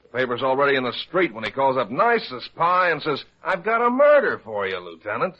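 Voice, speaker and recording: Excitable slangy police lieutenant, mid-pitched, raspy, indignant, muffled vintage radio detective drama. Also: male voice, brisk. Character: excitable, grumbling, hot-headed police lieutenant. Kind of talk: old-time radio detective drama acting. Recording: muffled lo-fi old radio recording.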